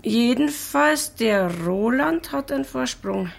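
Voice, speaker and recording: Radio drama: knowing rural gossip, gravelly, animated pitch, Bavarian-Austrian, dryly suggestive. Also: female voice, slow pace, full character voice. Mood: sly, knowing. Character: gossipy, droll village woman with sly innuendo.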